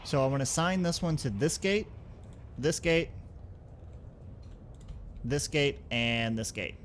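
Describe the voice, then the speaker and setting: A man's voice: on the high side, animated, slow pace, a little hiss or hum. Man thinking aloud in casual game commentary. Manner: thinks aloud, talking through each step. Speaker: gaming video host. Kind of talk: casual let's-play commentary.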